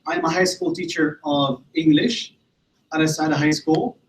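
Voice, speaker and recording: A man's voice: a little high, moderate pace, slight background noise. Moroccan man opening a presentation, echoey. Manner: friendly and hesitant, with fillers. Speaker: high school English teacher. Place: room without audience. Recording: echoey room, faint ambience.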